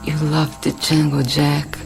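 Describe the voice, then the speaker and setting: An actress playing a mysterious, haunting Brazilian shapeshifter in a radio play, slow, cryptic and insistent in manner. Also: female voice, low voice, little pitch movement, gravelly, over loud music, heavily acted. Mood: hypnotic, eerie.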